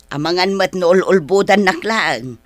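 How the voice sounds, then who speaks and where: A woman's voice: lowish voice, moderate pace, dry recording, clean studio sound, natural voice. A woman with an Ilocano accent acting a wary, protective middle-aged mother in a radio drama. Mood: suspicious. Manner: questioning, grudging.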